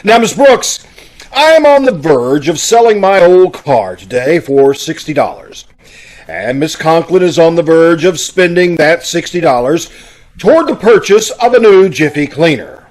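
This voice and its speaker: A pompous school principal from a radio sitcom, played by a man. His voice sits fairly high and swings widely up and down. He blusters and splutters, a self-important figure who is easily flustered. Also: big pitch swings, a little slow, darkish tone, rough recording. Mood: pompous, indignant. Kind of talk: old-time radio sitcom acting. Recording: amateur video-call radio play recording.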